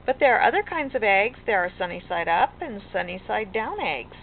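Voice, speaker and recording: A woman's voice: medium pitch, very animated, fairly quick, slight background noise. An older woman voicing a hearty, patient storybook father in an emphatic, coaxing way. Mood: patient, questioning. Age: older, grandmother. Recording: muffled lo-fi home recording, music behind.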